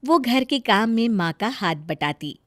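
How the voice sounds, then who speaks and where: A woman narrates a scripted story in a high, very lively voice. Her delivery is deliberate and expressive, with an unhurried pace. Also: no echo, studio quality.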